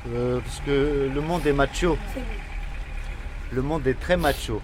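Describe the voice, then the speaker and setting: A man's voice: medium pitch, slow pace. A man explaining simply as a street interviewee, in short, repeated statements. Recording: faint outdoor traffic noise. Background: cars on a street.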